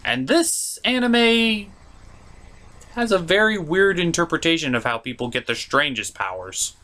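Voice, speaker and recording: Male reviewer, fairly high voice, swooping animated pitch, emphatic and mock-exasperated, scripted commentary with wry asides. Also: a little slow. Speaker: reviewer hosting an anime review show.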